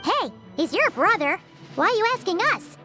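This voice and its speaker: A tiny game fairy guide, squeaky and childlike. The voice sits extremely high and bounces around with self-important, friendly chirpiness, over clear background music. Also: female voice, very animated, normal speed. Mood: friendly, reassuring. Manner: refers to self in third person, self-important. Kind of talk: animated game voice acting.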